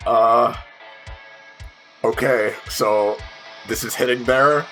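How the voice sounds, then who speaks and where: A man playing a tabletop game, chatting casually and thinking aloud in halting, repeated phrases with casual swearing. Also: medium pitch, fairly even intonation, a bit bright. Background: faint music.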